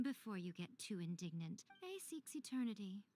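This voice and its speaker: A woman's voice: medium pitch, big pitch swings, moderate pace, mellow, slight background noise, lightly acted. A woman voicing a sly, elegant shrine priestess in a video game, coy, playful and a little haughty. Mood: coy, teasing.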